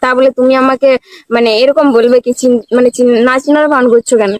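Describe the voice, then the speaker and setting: A woman's voice: high voice, steady tone, fairly quick, a little mellow, no echo. A woman speaking casually on a prank phone call, insistent and reproachful in a plaintive way. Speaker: prank caller. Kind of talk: staged earnest phone prank, casual chat.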